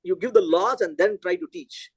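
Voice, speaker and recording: A middle-aged man from India, a Telugu native, giving a physics lecture in an animated, conversational way and thinking aloud. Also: high-pitched, moderate pace, gravelly, slightly rough, no echo, rough recording.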